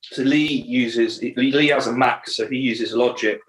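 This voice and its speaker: A man's voice: medium pitch, fairly even intonation, measured pace, low-quality recording. A man from a band, chatting in a podcast interview, rambling and correcting himself with many ums. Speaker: band member.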